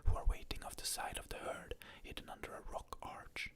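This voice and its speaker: A narrator softly whispering a scene-by-scene film retelling in an ASMR style. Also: brisk. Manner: soft unvoiced whisper, describing scenes.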